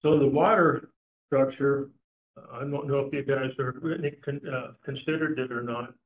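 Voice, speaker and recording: An older man giving a public comment at a meeting, speaking haltingly with many fillers. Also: mid-range voice, steady tone. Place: meeting room. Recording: dull lo-fi meeting recording.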